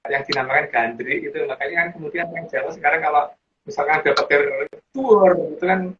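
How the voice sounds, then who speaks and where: A man's voice: a little high, a little fast. A man chatting casually on a live stream, rambling with fillers and restarts as he tells a local legend. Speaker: co-host. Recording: dull lo-fi stream audio.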